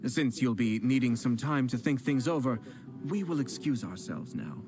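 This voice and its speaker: A man voicing a composed young male noble clan head who speaks in a measured, formal and polite way in a game. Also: medium pitch, expressive, lightly acted. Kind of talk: video game voice acting. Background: game music.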